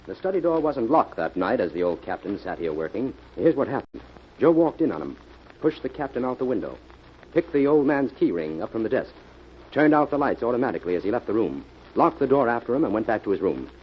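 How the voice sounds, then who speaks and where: An assured detective played by a man lays things out at a fast clip with lively pitch. The delivery is classic radio mystery, on a muffled vintage recording. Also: slight background noise, natural voice. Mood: brisk, assured. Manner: brisk, assured, explanatory. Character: confident male detective explaining a solved case. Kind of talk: 1940s radio acting.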